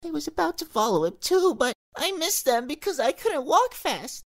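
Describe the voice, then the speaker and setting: A woman's voice: sits high, big pitch swings, normal speed. A woman voicing a sad, tearful little boy in a children's story.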